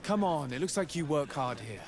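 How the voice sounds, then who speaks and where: A man playing a courteous, composed noble envoy in a video game, with formal, measured speech. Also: fairly high pitch, expressive, over music, natural voice. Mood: measured, persuasive. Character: polite, composed, probing young nobleman envoy. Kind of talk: video game dialogue acting.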